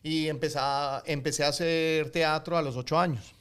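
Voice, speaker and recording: A middle-aged man with a Colombian accent, telling his life story as a guest in a relaxed interview, full of fillers and restarts. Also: fairly high pitch, moderate pace, clean tone. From United States, Colombian family. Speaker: guest, actor and coffee entrepreneur. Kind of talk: casual interview storytelling in Spanish.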